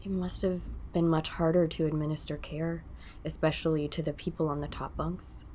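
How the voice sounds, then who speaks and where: Soft dark-toned woman, acted interviewer, gently probing, slightly low pitch, audio drama, noisy background. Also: level tone, moderate pace, natural voice. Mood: gentle, concerned. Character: attentive, probing female interviewer in Mars documentary drama.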